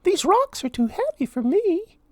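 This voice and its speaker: Man putting on a very high, earnest storybook maid. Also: very animated, unhurried, no echo, studio quality, full character voice. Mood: worried. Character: poor, kind, polite maid, young-sounding woman. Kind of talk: children's storybook read-aloud.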